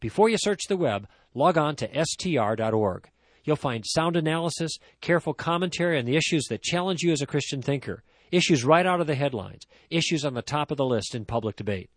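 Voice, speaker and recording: A man's voice: fairly high pitch, animated, brisk, no echo, clean studio sound. A male radio host reading a scripted ad in an earnest, persuasive tone.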